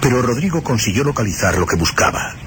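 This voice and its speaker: A man's voice: mid-range voice, very animated, fast talker. A man narrating a scripted suspense and horror radio story in a solemn, dramatic storytelling manner. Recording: loud storm noise over radio drama. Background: rain and storm ambience.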